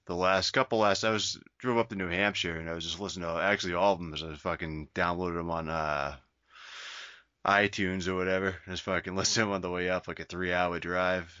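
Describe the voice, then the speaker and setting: A man's voice: sits low, steady tone, brisk, bright, forceful tone, clear, resonant tone, no room sound. A man co-hosting a podcast, talking in loose, rambling banter and swearing constantly. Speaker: podcast co-host. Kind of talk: casual crude banter. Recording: slightly dull lo-fi sound.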